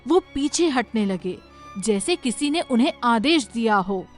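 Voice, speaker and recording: A woman giving scripted, dramatic narration of an animated story. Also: fairly high pitch, measured pace, soft background music.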